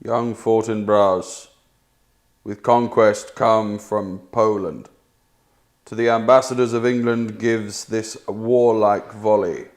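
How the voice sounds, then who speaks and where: Bloke doing an old nobleman in shakespeare, grave n measured, fairly low voice. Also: fairly even intonation. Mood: solemn. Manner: grave, measured herald's announcement. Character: older nobleman courtier Osric, grave and dignified. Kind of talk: theatrical Shakespearean table read.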